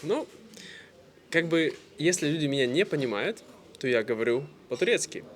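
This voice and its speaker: A man from Russia vlogging casually to camera, speaking simply and clearly for language learners with easy fillers. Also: fairly high pitch, expressive, normal speed. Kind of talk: casual vlog talk to camera.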